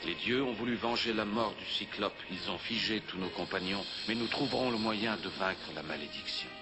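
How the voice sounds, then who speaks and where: Guy doing a protective adventurer dad in a cartoon, kinda deep n reassuring, music behind. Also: normal speed, natural voice. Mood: solemn resolve. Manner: solemn, reassuring. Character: resolute, protective father, heroic adventurer, gently reassuring. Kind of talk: dramatic cartoon acting.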